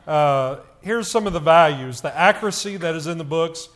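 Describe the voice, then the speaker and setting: A middle-aged man, a church elder, speaking loudly to the congregation and stumbling with frequent fillers. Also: on the high side. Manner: loud voice, halting with many fillers.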